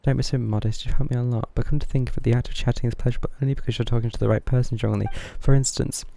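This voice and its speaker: A man's voice: mid-range voice, steady tone, very fast, gravelly, mellow. A man streaming a video game with casual live commentary, reading dialogue aloud and mumbling through parts of it. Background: game music.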